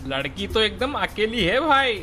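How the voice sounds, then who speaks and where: A man doing sly young street loafer, slightly high. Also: big pitch swings, a little slow, pushed and bright, soft background music, full character voice.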